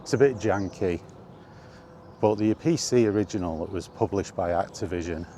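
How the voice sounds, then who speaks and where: A man hosting a casual, rambling chat, thinking aloud with hesitant pauses. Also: deep voice, dark tone. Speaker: video host reminiscing about games. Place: on the move, walkie talkie vlog. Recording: faint ambient background noise.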